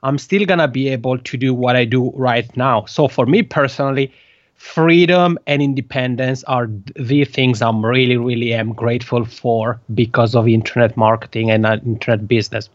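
A man's voice: mid-range voice, fairly even intonation, moderate pace, dry recording. Swiss-Italian man in his thirties, accented guest, restarts phrases, dead dry muffled line. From Italian-speaking Switzerland. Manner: hesitant, repeats words while searching for phrasing. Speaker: podcast guest. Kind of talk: conversational interview.

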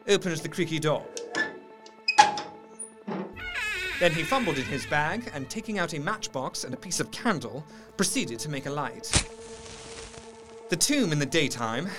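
A man's voice: a little high, close to an everyday voice. A man playing a proper, troubled Victorian doctor, narrating his own horror story formally and with feeling in an audio drama. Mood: uneasy recounting. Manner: formal, expressive. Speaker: narrator in character.

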